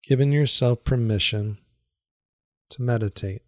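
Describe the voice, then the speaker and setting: A man leading a guided meditation in a soothing, unhurried manner with long pauses and repeated phrases. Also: mid-range voice, no echo, studio quality. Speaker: meditation guide, yoga teacher. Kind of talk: guided meditation, calm instruction.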